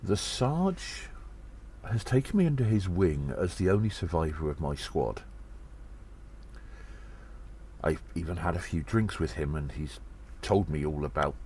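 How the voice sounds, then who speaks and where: A man acts out a gnome secret agent with a strikingly low voice, telling his audio drama tale in a theatrical, self-important way. He is cheeky and deliberate, over noticeable background noise. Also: a little mellow. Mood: reading gravely.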